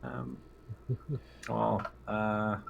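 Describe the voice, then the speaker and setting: A man's voice: fairly low pitch, completely flat delivery, very slow, vocal fry. A man chatting casually as a player in a group tabletop game.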